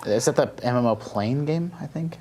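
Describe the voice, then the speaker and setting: A man's voice: medium pitch, normal speed, dark tone. A man casually explaining video games as a panelist.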